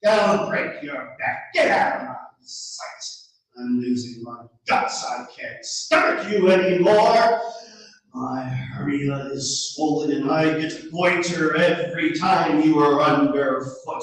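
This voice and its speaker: A fed-up old mother hurling colorful scolds at her son, as a male storyteller puts her on. The voice sits high and swings widely, echoing through a room from well back from the mic. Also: fairly bright tone. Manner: scolding, theatrical insults. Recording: echoey room, mic far off.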